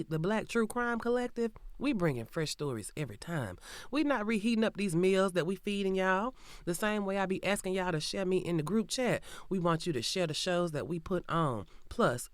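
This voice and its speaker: A woman's voice: low voice, no echo. A Southern-accented woman in her forties hosts her show from a booth, talking with sassy, slang-heavy ease. A cold leaves her a little nasal. The sound is close and dry, with no room around her voice. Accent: Southern American. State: sick with a cold, slightly nasal, coughing. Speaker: podcast host. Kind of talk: casual true crime podcast intro. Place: recording booth.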